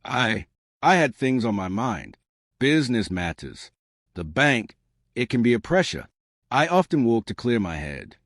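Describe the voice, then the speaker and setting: A man's voice: mid-range voice, very animated, unhurried, fairly bright tone, dry recording, studio quality, exaggerated voice. A man voicing a nervous, evasive bank manager in an audiobook mystery, stammering and easily vehement. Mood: flustered, anxious.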